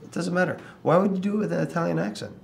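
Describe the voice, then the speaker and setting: A man's voice: high voice, rapid-fire, creaky. An actor playing a skeptical, dismissive adman in a naturalistic screen comedy. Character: skeptical adman shooting down an idea. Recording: faint background noise.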